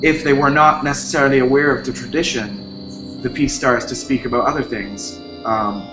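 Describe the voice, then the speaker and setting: A man from Barbados, an artist, talking thoughtfully about his practice with pauses and restarts. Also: medium pitch, steady tone. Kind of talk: interview talk about his art practice. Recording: echoey room with music behind.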